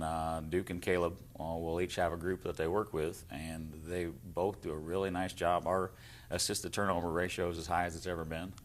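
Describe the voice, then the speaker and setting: A man's voice: low voice, slight background noise. An American man talking as a coach in a casual sports interview, plainspoken with a dry humour. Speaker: basketball coach.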